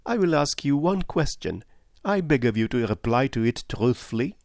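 A man acts out a shrewd male detective in an audiobook, pitched well up with lively swings. He is courteous and calm, probing in measured, insistent phrases. The sound is dry and close. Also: no echo. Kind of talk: audiobook character voice.

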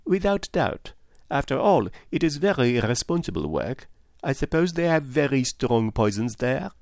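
A man performs a courteous, inquisitive foreign detective from a mystery audiobook. The voice is pitched up and very animated, with formal, probing phrases. The sound is dry and close. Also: normal speed, vocal fry, no room sound. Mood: genial, curious.

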